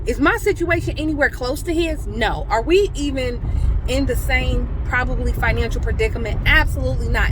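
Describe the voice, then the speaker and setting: A woman's voice: on the high side, background noise. An American woman vlogger telling a casual story in an emphatic, colloquial way, repeating phrases to drive them home. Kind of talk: casual animated storytelling to camera. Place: in a car.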